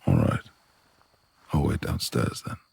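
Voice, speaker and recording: A man playing a brooding, reclusive beast of a manor lord in a romantic ASMR roleplay, gruff yet tender, with gravelly, breathy speech and formal old-fashioned phrasing. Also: unhurried, a little mellow, a little hiss or hum, lightly acted. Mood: coaxing.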